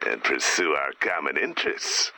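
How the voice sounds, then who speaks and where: A pompous, menacing businessman werewolf from a video game. The voice is raspy and sharp, swinging wildly in pitch as it delivers boardroom jargon like a threat. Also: wildly swooping pitch, moderate pace, dry recording, soft background music, heavily acted, poor audio. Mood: smug, predatory. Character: director turning into a corporate-minded werewolf businessman.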